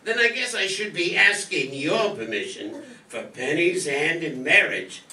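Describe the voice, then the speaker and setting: A man playing a glib, courtly gentleman suitor in a theatrical radio soap opera parody. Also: on the high side, lively intonation, unhurried, exaggerated voice. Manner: smooth-talking, theatrical, courtly. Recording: echoey stage, far mic.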